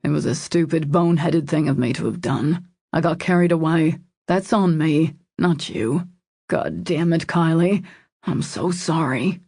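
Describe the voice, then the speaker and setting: Anguished male romance lead, played by a female narrator, husky and creaky, urgent, protective, pleading intensely, audiobook drama. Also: sits low, unhurried, a little mellow, dry recording, clean studio sound, heavily acted. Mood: angry remorse. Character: anguished, protective man pleading with his lover.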